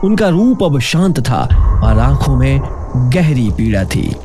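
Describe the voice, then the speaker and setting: A man's voice: mid-range voice, sing-song, slow. A man narrating a scripted children's story in a dramatic style. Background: loud background music.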